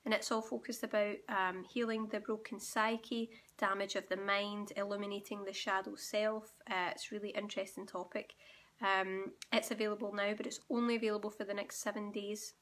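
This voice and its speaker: Woman host with a croaky voice; gentle direct-to-camera teaching talk. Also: medium pitch, level tone, normal speed. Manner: gentle, explanatory, addressing viewers directly. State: croaky, sore throat. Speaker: spiritual awakening guide and channel host.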